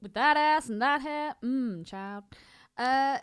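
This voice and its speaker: Woman, teasing, higher-pitched podcast co-host. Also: takes it slowly, smooth. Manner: teasing, blunt, sweary. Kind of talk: casual film chat banter.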